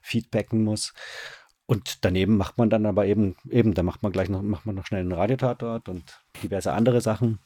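Male guest, deep and husky, conversational, halting explanations, close dry sound. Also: steady tone, warm tone, dry recording. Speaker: radio drama director, interview guest. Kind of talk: casual explanatory interview talk.

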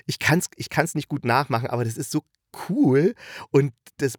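Male review podcast host, mid-pitched, enthusiastic and colloquial, loose rambling delivery, dry recording. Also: expressive, moderate pace, no echo. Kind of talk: casual chatty review monologue.

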